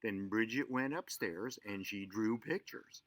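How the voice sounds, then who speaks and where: A man reading a children's story aloud in an expressive, theatrical way. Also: on the low side, unhurried, dry recording. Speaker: storyteller and show host.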